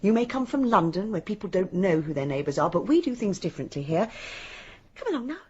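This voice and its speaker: A woman's voice: medium pitch, big pitch swings, quick pace, dark tone, breathy edge, highs cut off. An actress playing a kindly, fussing, genteel English village lady with an exclaiming, solicitous manner in a radio drama. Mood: kindly insistent. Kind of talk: modern radio drama acting.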